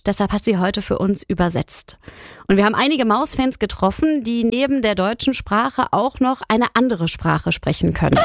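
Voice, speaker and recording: A woman's voice: mid-range voice, no room sound, studio quality. A woman hosting a children's radio show, chatting enthusiastically and speaking directly to young listeners. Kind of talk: presenting to children. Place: radio studio. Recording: music behind the voice. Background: faint music.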